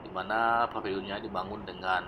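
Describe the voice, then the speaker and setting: A man narrating his old travel footage in a relaxed, explanatory voice-over, often pausing with fillers. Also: low voice, noisy background. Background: background music.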